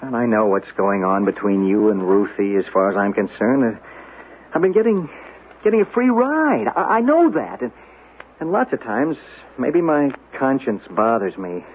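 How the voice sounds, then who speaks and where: A man's voice: medium pitch, big pitch swings, a little hiss or hum, natural voice. A man playing a stammering, glib agent. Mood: confessional. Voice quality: stammering, fast-talking salesman patter. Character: glib, guilty male literary agent. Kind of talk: 1970s radio drama acting. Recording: tinny old radio broadcast.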